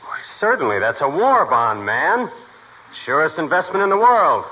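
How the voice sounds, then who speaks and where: A man's voice: a little high, sing-song, moderate pace, breathy edge. An actor playing a dry, guarded, haughty committee chairman selling war bonds in a 1940s radio comedy. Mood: brisk, haughty. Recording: muffled 1940s radio recording, faint hiss.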